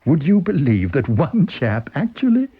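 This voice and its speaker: A chatty newspaper journalist in a radio story, acted by a male reader. He gossips in a wry, offhand way, his pitch moving freely and his tone slightly husky. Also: very animated, normal speed, phone-quality sound, heavily acted. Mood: amused gossip.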